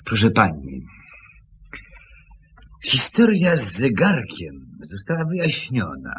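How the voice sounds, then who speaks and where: A wronged, brooding man in a radio play. His low voice is very husky and swings widely in pitch as he makes his emphatic, bitter case. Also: huge pitch swings, full character voice. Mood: restrained, resentful. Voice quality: husky, gravelly. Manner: brooding, emphatic, halting outbursts. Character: bitter younger man, wronged former apprentice, agitated and determined. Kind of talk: Polish radio drama acting.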